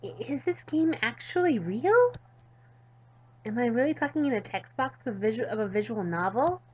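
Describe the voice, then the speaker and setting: A goofy, self-important teenage schoolgirl acted out by a woman rambles dramatically through a visual novel. Her voice is high and a little husky, with a ditzy, excitable temper. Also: normal speed, highs cut off. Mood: incredulous.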